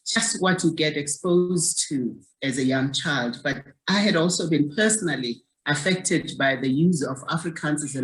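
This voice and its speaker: A woman's voice: deep voice, rough recording. A woman in her sixties from South Africa, a community activist telling her story in an interview in an earnest way, often repeating words as she searches for them.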